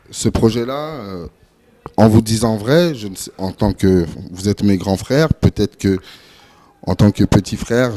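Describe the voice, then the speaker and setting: A young man from France speaking on a discussion panel, halting and full of hesitations and repeated words. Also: lowish voice, dark tone. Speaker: panel speaker, event organizer.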